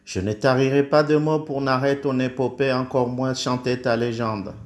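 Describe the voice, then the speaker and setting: A man's voice: medium pitch, fairly even intonation, normal speed, roomy sound. A man from the Comoros reciting a solemn praise poem in an oratorical, declamatory way, in short emphatic phrases. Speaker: poet.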